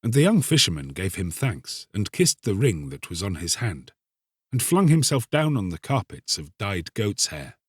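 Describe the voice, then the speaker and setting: A man narrating a literary tale as a scripted audiobook, solemn, deliberate and theatrical. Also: lowish voice, big pitch swings, husky, no echo, studio quality.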